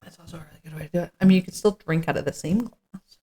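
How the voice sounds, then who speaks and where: A woman's voice: low voice, rapid-fire, dark tone. A woman co-hosting a podcast in an informal chat, partly reading from notes and thinking aloud. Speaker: podcast co-host.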